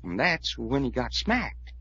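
An actor playing a lighthearted, wry sidekick in 1940s radio drama. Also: male voice, mid-range voice, big pitch swings, unhurried, bright, forceful tone, slightly rough, exaggerated voice. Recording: dull old radio recording.

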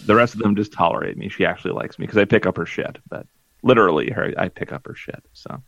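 A man's voice: fairly low pitch, fast talker, creaky, a bit bright, dry recording. A man in his forties chatting casually as a podcast co-host, rambling and self-deprecating with lots of fillers. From Minnesota. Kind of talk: casual chat. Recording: dull lo-fi dry audio.

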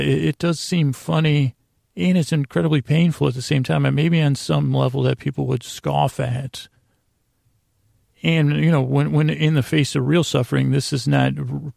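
A man hosting a podcast with a meandering, halting, self-deprecating personal monologue that trails off mid-sentence, in a gravelly voice. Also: fairly high pitch, steady tone, darkish tone, dry recording, clean studio sound. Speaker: podcast host. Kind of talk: rambling personal storytelling monologue.

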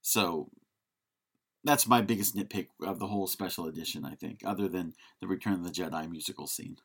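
Opinionated, lowish-voiced male podcast co-host. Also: a little fast, fairly bright tone, breathy edge. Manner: opinionated, frank. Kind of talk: casual film critique.

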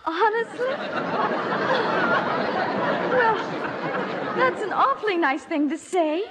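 An actress playing an awkward, dramatic teenage daughter in a 1950s radio comedy. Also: female voice, very high voice, expressive, a loud crowd, exaggerated voice. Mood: nervous, coy. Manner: flustered, halting, melodramatic. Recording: noisy old radio broadcast, hall audience.

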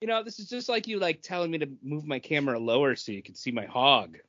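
A man's voice: on the high side, fast, a bit bright, lo-fi sound. A man chatting informally about comic books as a bantering podcast co-host.

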